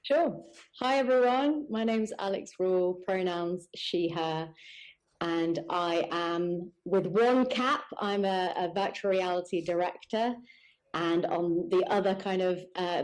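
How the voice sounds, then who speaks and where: A woman with a fairly low voice, coming in over a video call to join a panel. She keeps her introduction brisk and straightforward. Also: darkish tone, poor audio. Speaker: panelist, virtual reality director.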